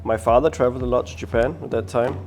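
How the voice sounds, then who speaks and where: A man in his forties from Denmark, a florist hosting a workshop, explaining steps in a friendly, halting way with many ums. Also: medium pitch, steady tone, darkish tone, faint music behind. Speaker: florist host. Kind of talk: casual workshop chat. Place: bright indoor studio with flowers.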